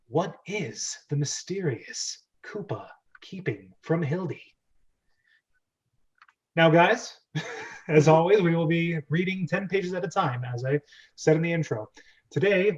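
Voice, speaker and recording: Higher-pitched man hosting a script read-through. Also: highs cut off. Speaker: host and narrator of a script read-through show. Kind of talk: chatty hosting and dramatic script reading.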